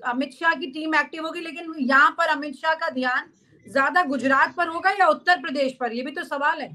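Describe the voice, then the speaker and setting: A young woman hosting a news discussion over a video call from an office, brisk as she reads out figures. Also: high voice, sharp tone. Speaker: news show host. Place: office room, indoors. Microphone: video call webcam.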